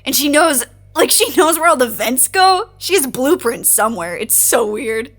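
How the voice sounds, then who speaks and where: A woman's voice: high voice, expressive, moderate pace, fairly bright tone. A woman acting as a brash, defensive, foul-mouthed agent in an audio drama, blunt and combative. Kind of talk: naturalistic audio drama dialogue.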